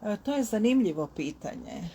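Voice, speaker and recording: A woman's voice: medium pitch, expressive, normal speed, mellow, a little hiss or hum. A woman language teacher answering questions in simple, patient Croatian that learners can follow.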